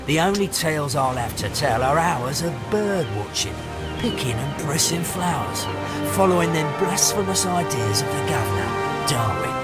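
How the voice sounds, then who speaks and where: An actor playing a gruff, uneducated nineteenth-century sailor, bored, hungry and menacing, in dramatic video game storytelling. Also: male voice, mid-range voice, close to an everyday voice. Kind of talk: dramatic diary narration and acted dialogue. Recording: music playing loudly under the voice.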